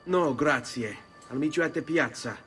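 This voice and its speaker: Casting: man playing proud young Italian noble out for revenge, Italian accent, forceful higher voice, game cinematic. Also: normal speed, soft background music, natural voice. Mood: anxious, earnest.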